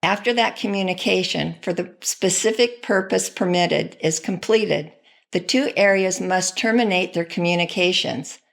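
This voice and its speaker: Creaky, low-pitched woman narrator. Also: moderate pace. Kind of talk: scripted training narration.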